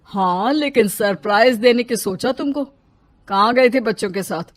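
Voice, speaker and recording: A woman's voice: fairly high pitch, normal speed, lightly acted. A woman voicing a loving but short-tempered young husband in a moral story, teasing and grumbling.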